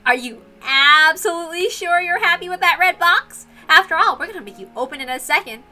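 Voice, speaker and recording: A woman's voice: very high-pitched, animated, fairly quick, pushed and bright, soft background music, full character voice. A woman voicing a teasing, playfully menacing young woman who sets puzzles in a visual novel read-aloud.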